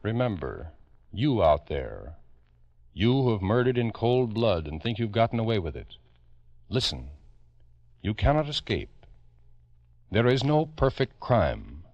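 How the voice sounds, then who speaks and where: A man's voice: fairly low pitch, sing-song, no echo. Old time american radio narrator guy, grave and emphatic, dry sound, dull vintage recording. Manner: grave, emphatic, urgent direct address with dramatic pauses. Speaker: narrator and host of a true crime radio show. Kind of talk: 1950 dramatic radio narration.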